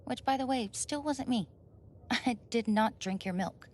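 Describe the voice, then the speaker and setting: A woman's voice: a little high, unhurried, a little mellow, slight background noise, lightly acted. A woman acting a flustered, defensive young roommate caught off guard in an ASMR roleplay, stammering, indignant and evasive. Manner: stammering, indignant, evasive, sighs and nervous laughs.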